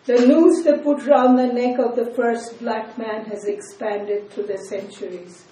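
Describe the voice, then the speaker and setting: An older woman from India, a poet whose first language is not English, giving a slow, measured poetry reading with soft, trailing line endings and short asides between poems. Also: a little high, fairly even intonation, a little hiss or hum. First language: Bengali. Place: reading event in a room. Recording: distant, echoey room with faint ambience.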